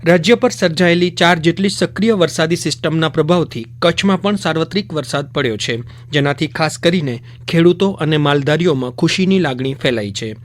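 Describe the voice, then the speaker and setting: A man's voice: a little high, animated, brisk. A man reading scripted radio news as the presenter.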